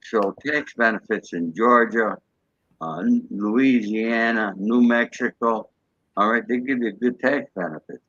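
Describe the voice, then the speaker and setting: Man, interview guest, lowish voice, dry muffled remote audio. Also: measured pace, fairly bright tone, no echo. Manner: matter-of-fact, fillers like "you know". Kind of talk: casual interview chat.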